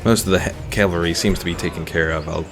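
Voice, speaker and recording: A man's voice: low-pitched, steady tone, fast. A man giving casual live commentary as he plays a game. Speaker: game streamer. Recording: loud game music behind. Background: battle game music and unit voices.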